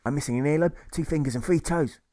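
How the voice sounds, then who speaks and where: A man's voice: on the high side, quick pace, warm tone, no echo, clean studio sound, pitched above the performer's own voice, natural voice. A man voicing a plucky maimed soldier in an audiobook, blunt and emphatic.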